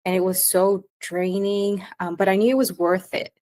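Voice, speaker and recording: A woman's voice: mid-range voice, flat delivery, normal speed, dark tone. A woman hosting a coaching podcast, upbeat and enthusiastic as she introduces the show and chats with her guest.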